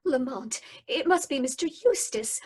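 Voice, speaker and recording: Hero's companion: high, breathy, lively 1940s radio delivery. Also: female voice, unhurried. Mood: worried. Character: brave young woman sidekick, the hero's companion. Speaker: radio drama actor. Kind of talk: old-time radio drama reading. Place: home, over a video call.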